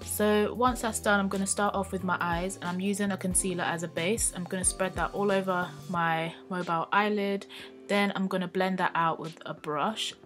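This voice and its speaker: A woman talking through her makeup tutorial in a relaxed, chatty voice-over with a slightly croaky, just-woken-up sound. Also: medium pitch, normal speed, soft background music. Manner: relaxed, chatty, with many ums and likes. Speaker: beauty vlogger.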